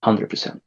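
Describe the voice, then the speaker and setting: A man in his thirties from Peru, chatting loosely as a podcast guest, rambling and swearing with easy laughs. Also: a bit bright. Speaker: guest band member. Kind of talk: casual podcast chat.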